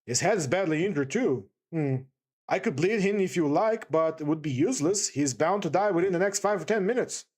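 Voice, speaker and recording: A man's voice: a little high, lively intonation, fairly quick, a little mellow. A man voicing a precise little old doctor who speaks in a blunt, matter-of-fact way.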